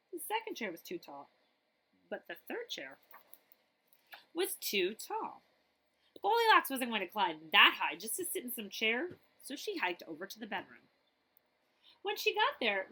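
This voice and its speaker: A woman's voice: medium pitch, breathy edge, low-quality recording. A woman librarian reading a picture book aloud to children expressively, with chatty asides and questions to her listeners. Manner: animated, chatty, pausing to ask listeners questions.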